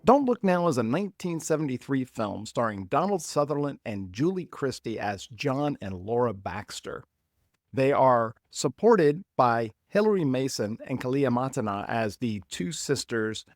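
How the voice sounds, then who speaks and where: A man's voice: medium pitch, sing-song, moderate pace, no echo, studio quality. A man hosting a film podcast, reading an upbeat scripted intro, then chatting casually with his co-host. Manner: upbeat, reads from notes.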